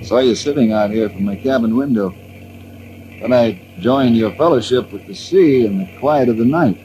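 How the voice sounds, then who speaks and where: Companionable male adventure lead man acting, mid-pitched, calm and friendly, vintage radio serial with faint noise. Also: moderate pace, natural voice, low-quality recording.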